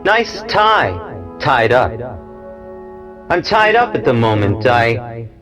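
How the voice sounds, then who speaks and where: A man's voice: mid-range voice, sing-song, slow pace, music in the background. A man in his forties, a poet and musician, speaking in a studio. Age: 40s. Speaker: poet, musician and cable show producer. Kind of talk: poetry recital and interview talk. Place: equipment-packed studio.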